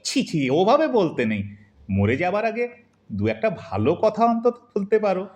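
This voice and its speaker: A man's voice: high-pitched, huge pitch swings, measured pace, darkish tone, full character voice. Guy acting fatherly old man in a radio play, hesitant n pleading, voice goes up and down a lot, echoey. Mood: wistful, hesitant. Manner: plaintive, coaxing. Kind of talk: Bengali audio drama acting. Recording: roomy, echoing voice recording.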